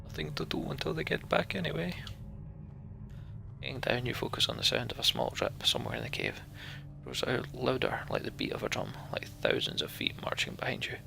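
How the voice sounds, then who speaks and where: A man reads a game's story aloud as host of a playthrough, dropping casual, sarcastic asides. His voice is gravelly and bright, with a lively, swinging pitch. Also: brisk, hardly any pauses, faint music behind. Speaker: let's play host. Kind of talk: reading game text aloud with asides.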